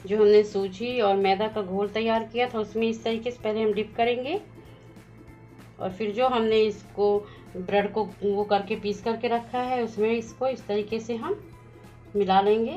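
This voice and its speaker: A woman hosting a home cooking channel, calmly explaining each step of a recipe as she goes. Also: fairly high pitch, moderate pace. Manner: plain, instructive, walks through each step. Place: home kitchen at the stove. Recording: slightly dull lo-fi sound with faint music.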